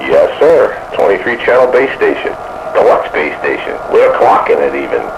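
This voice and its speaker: A man's voice: on the low side, very animated, normal speed, gravelly. A man chatting casually as a radio hobbyist during an on-air CB round table. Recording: noisy, muffled CB radio audio.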